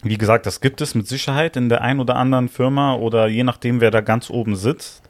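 A man's voice: medium pitch, fairly even intonation, fast talker, no echo. A young man co-hosting a casual podcast, talking in slangy, argumentative bursts and often checking whether he is understood. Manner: slangy, argumentative, frequent "weißt du, was ich meine". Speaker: podcast co-host.

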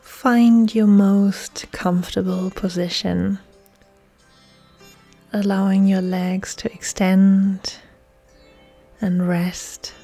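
A woman yoga instructor leading a guided relaxation meditation in a soft, breathy, soothing delivery with long pauses. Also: mid-range voice. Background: soft music.

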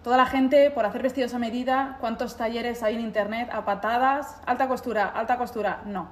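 A woman's voice: on the high side, a little fast. Chatty woman, faint italian lilt. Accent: Spanish with Italian mixed in. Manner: animated storytelling, acts out quoted voices. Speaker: interview guest, seamstress. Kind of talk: casual conversational interview. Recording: echoey room, faint background noise.

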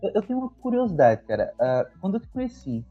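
A man's voice: sits high, sing-song, fast, breathy edge. A Brazilian man with a Brazilian accent, interviewing a guest in an enthusiastic, effusive way. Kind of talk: casual interview. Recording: dull lo-fi sound with faint music.

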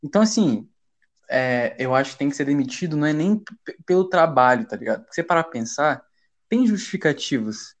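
A man from Brazil hosting a casual football podcast, talking in heavy slang with frequent swearing and rising to loud, agitated rants. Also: a little high, animated, brisk. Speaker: podcast host. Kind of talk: heated casual football chat.

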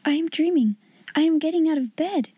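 A woman's voice: sits high, fairly quick, no echo, voice pushed up, natural voice. A woman voicing a breathless awed little girl. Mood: wondering. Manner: breathy, hushed, half-whispered. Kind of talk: audiobook character voice.

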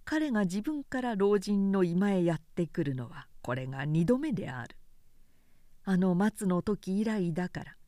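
A woman reading a literary period story aloud as narrator, in a measured, expressive storytelling manner. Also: medium pitch, no room sound, clean studio sound. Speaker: narrator, reciter.

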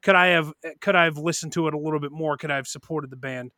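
A man's voice: fairly high pitch, fast talker, no echo, clean studio sound. A man in his early thirties co-hosting a podcast, rambling and swearing in loose casual chat. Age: early 30s. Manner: profane, rambling, animated. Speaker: podcast co-host.

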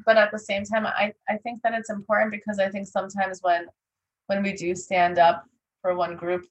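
A woman's voice: fairly low pitch, low-quality recording. A woman hosting a podcast interview, thoughtful and a little hesitant, with lots of fillers. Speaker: podcast host.

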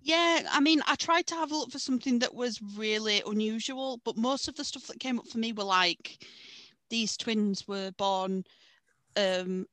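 A woman's voice: on the high side, normal speed, a bit bright, no room sound. Woman goofing around on a podcast, dry close mic. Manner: playful, goofy asides. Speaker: podcast co-host. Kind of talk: casual banter.

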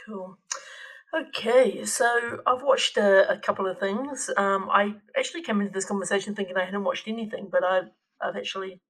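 A man speaking in an even, flat tone as co-host of a casual podcast. He is relaxed and a little hesitant, pausing and restarting as he talks about what he has been watching. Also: high-pitched, poor audio. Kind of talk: casual chat.

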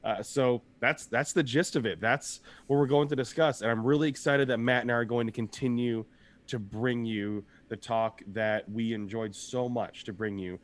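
A young man with a slightly hoarse voice co-hosts a casual podcast. He rambles cheerfully, laughs easily and keeps the chat loose, sitting at an everyday middle pitch. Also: fairly even intonation, normal speed. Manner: upbeat, rambling, laughs often. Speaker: podcast co-host.

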